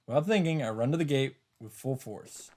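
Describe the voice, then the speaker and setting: Male streamer, mid-pitched, narrating visual novel text, informal asides. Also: expressive, fairly quick, darkish tone. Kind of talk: live read-aloud narration with casual asides.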